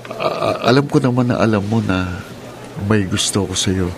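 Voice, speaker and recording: A man's voice: lowish voice, unhurried. An actor playing a shy young male student who hesitantly courts a classmate in a radio drama. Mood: nervous. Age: young adult. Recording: noisy radio drama recording.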